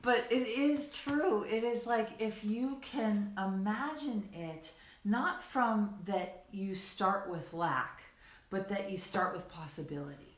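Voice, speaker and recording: A woman's voice: medium pitch, slow pace. A woman with a mid-range voice co-hosts an online coaching session. She chats informally and gushes with enthusiasm, rambling along and checking in with listeners. The sound is roomy and echoing. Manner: giggly, gushing, rambling with frequent "right" and "like". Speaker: co-host of a coaching livestream. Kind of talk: enthusiastic casual chat to an online audience.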